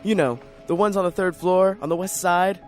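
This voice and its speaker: A man's voice: high-pitched, fairly even intonation, normal speed, over music, natural voice. A man playing a lonely, neurotic, paranoid writer in an introspective, theatrical radio drama monologue. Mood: wistful.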